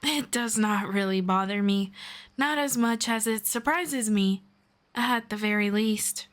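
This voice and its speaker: Slow-speaking, teasing female elf played by a woman. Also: mid-range voice, lightly acted. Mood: amused, sarcastic. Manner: measured, playful, gently sarcastic. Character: friendly, teasing female forest elf, calm and wry. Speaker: roleplay voice performer. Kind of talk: ASMR fantasy roleplay.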